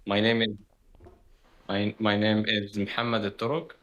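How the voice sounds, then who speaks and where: Guest in his twenties from Gaza: deliberate and unhurried, bright voice, on a video call. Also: fairly low pitch, little pitch movement, muffled recording. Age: 20s. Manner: slow, hesitant, with frequent fillers. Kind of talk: conversational interview. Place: internet cafe.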